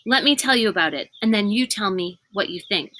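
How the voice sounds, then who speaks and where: A woman's voice: medium pitch, animated, a little fast, pushed and bright. A woman voicing an anxious, earnest boy in a children's storybook read aloud. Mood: excited, urgent.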